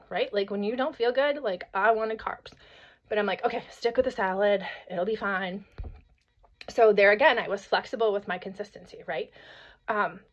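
Hoarse, chatty woman podcast host. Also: medium pitch. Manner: energetic, chatty, peppered with "right?" and "like". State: hoarse from a cold. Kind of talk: motivational solo podcast talk. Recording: slightly dull lo-fi audio.

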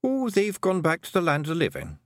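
A man's voice: a little high, wildly swooping pitch, a little fast, no room sound, clean studio sound, pitched above the performer's own voice. A man voicing a cheerful, scrappy little pixie warrior with a hearty, folksy manner and a Scottish accent.

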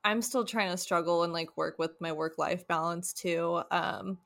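A woman's voice: lowish voice, fairly even intonation, normal speed, gravelly, a bit bright. A woman in her twenties co-hosting a podcast, talking candidly and a little anxiously about work. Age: 20s. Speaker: podcast co-host. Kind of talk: casual chat.

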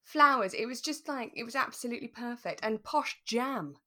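A woman co-hosting a relaxed, casual chat podcast, talking in an effusive, conversational way. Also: fairly high pitch, normal speed. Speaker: podcast co-host. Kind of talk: relaxed casual chat about a radio drama.

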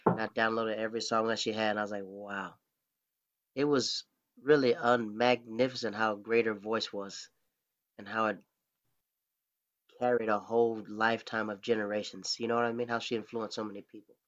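A man's voice: lowish voice, level tone, normal speed, fairly bright tone. A man interviewing someone in casual conversation, rambling and restarting his questions. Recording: dull lo-fi recording.

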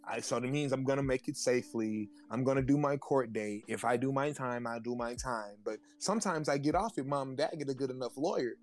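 A man in casual podcast chat, animated and slangy, who keeps checking in with his listeners and laughs between points. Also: mid-range voice, a little fast, hardly any pauses.

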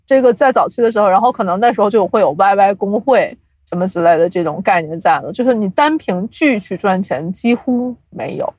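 A young adult woman chatting casually as an interview guest, explaining things from experience with animated storytelling and self-deprecating humour. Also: medium pitch, fairly quick, few pauses, no echo. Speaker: podcast guest, audio drama producer.

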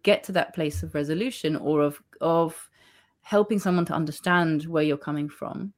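A woman with a notably low voice talks through her ideas as an author in an interview. She speaks in a thoughtful, measured way, circling back and rephrasing as she goes, with an occasional soft laugh. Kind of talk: reflective interview conversation. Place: Spain.

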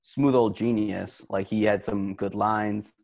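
Gravelly low-voiced man, halting. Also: steady tone, a little mellow. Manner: halting, restarts, crude jokes. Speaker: podcast co-host. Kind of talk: casual chat about a movie.

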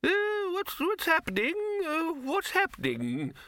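An ancient doddering wizard in a storybook comedy, pitched far up high. He drags his words out very slowly, quavering and querulous, hesitating and repeating himself. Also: huge pitch swings, bright, forceful tone, dry recording, studio quality, full character voice. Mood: querulous, alarmed.

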